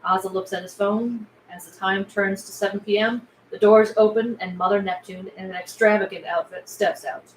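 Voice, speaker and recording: A woman with a mid-pitched voice narrates screenplay directions. She is recorded in a roomy, echoing space with faint noise behind her. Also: fairly even intonation, moderate pace, hardly any pauses. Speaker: reader of stage directions. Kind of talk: scripted narration at a table read. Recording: echoey room, faint background noise.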